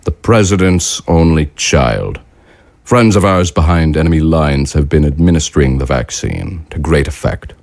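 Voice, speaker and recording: A man in an audio drama playing a cold, calculating wartime leader who speaks in a measured, formal, quietly menacing way. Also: a bass voice, no echo, clean studio sound. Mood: stern, assured.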